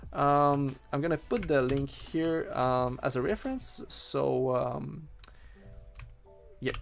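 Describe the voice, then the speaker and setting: A man live-streaming his coding work, chatting casually to viewers with lots of fillers and enthusiasm. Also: a little high. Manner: rambling and chatty, many fillers, enthusiastic about his tools.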